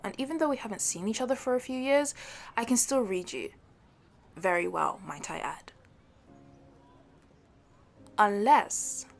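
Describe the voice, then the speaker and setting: A woman acts out a sporty young woman in an intimate one-sided audio roleplay. She talks to a childhood best friend in a playful, teasing way, competitive but a little halting. Her pitch sits in the middle range for a woman. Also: gravelly. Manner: playful, teasing, with hesitant halting starts and giggles.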